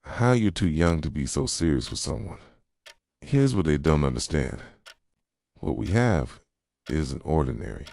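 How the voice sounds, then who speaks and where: Possessive yandere boyfriend played by a man for ASMR roleplay: extremely deep, soft, manipulative and insistent, closely miked and dry. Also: lively intonation, measured pace, long pauses, no room sound, exaggerated voice. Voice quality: soft, low, breathy at times. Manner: intimate, insistent, pleading and accusing. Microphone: close microphone, dry sound.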